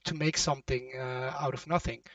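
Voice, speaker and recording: A man's voice: medium pitch, steady tone, brisk, a bit bright, far from the mic, highs cut off. A man hosting a crypto talk on a voice call, blunt and opinionated, chatting casually and often laughing. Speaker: crypto channel host. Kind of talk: casual chat.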